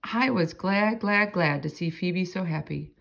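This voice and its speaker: A woman reading a children's book aloud in an animated, expressive storytelling manner. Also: lowish voice, measured pace, gravelly.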